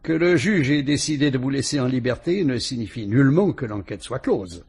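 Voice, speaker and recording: An actor with a French accent playing a calm, shrewd police inspector who politely and ironically probes a suspect in a vintage radio drama. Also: male voice, mid-range voice, slightly rough. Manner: measured, probing, politely ironic.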